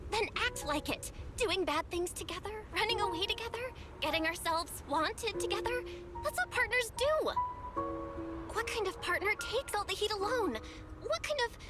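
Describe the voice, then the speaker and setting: A high, youthful stubborn, caring heroine in a video game story. Her delivery is earnest and emphatic, with prominent music underneath. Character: spirited, loyal, stubborn young woman, caring partner in crime. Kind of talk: dramatic game cutscene voice acting. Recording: loud music behind.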